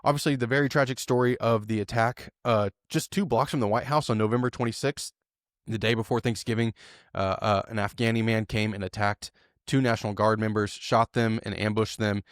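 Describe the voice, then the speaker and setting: A man's voice: lowish voice, steady tone, a little fast, dry recording, studio quality. A man co-hosting a podcast, talking through the news casually with lots of fillers and tag questions. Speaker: podcast co-host.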